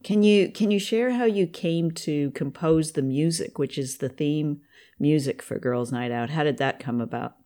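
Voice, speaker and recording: A middle-aged woman hosting a podcast interview and introducing her guest, warm and easily moved. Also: low-pitched, moderate pace. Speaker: podcast host and producer.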